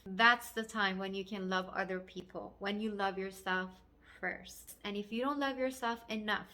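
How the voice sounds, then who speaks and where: A woman's voice: mid-range voice, fairly even intonation, normal speed, pushed and bright. A woman with a Persian accent, a native Farsi speaker, talking as a life coach in an earnest, encouraging, emphatic motivational address. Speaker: life coach and video host. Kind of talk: motivational talk to camera.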